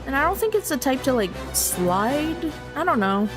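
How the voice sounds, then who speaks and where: A woman's voice: high-pitched, sing-song, moderate pace. A woman streamer who moves between casual, informal chat and reading a visual novel story aloud. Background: background music.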